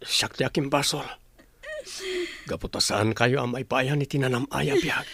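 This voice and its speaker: A man's voice: mid-range voice, fairly even intonation, normal speed, vocal fry, fairly bright tone, no echo. A man playing a soothing, earnest father and husband in a radio drama. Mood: earnest, reassuring. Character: gentle, apologetic poor father and husband.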